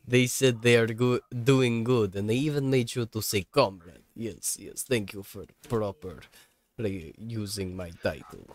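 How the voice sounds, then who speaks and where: A man's voice: lowish voice, steady tone, measured pace. A man chatting casually while playing a video game, talking in a jokey mock-comrade manner. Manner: jokey mock-communist talk, addressing others as comrade. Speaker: gaming streamer.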